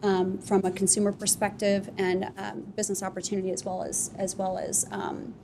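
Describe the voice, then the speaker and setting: A woman founder on a live podcast panel, telling her business story at length in a relaxed, rambling way with many fillers. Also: medium pitch, moderate pace, gravelly, noisy background. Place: office podcast studio with live audience.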